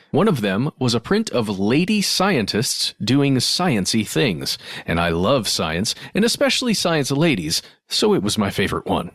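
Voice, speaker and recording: A man's voice: mid-range voice, no room sound. Man co-hosting a podcast, dry close sound. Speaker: podcast co-host. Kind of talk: casual podcast talk and reading listener mail.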